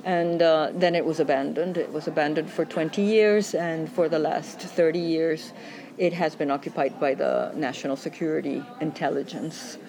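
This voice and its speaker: A woman's voice: low voice, fairly even intonation, moderate pace, a crowd in the background. A woman cultural historian from Venezuela giving a spoken explanation as an interviewee.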